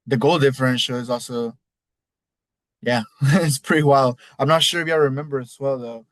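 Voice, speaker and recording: A man's voice: medium pitch, normal speed, no room sound. A man with a Mexican accent hosting a casual league recap podcast, rambling loosely and cussing now and then. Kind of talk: casual solo recap talk.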